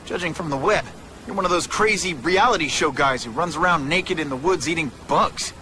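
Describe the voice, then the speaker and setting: Guy doing a cocky young superhero, high voice, quippy game dialogue, traffic noise behind. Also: brisk, close to an everyday voice. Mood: sarcastic. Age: young adult. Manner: quippy, sarcastic. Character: wisecracking young male masked superhero, cocky and chatty. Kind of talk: video game voice acting.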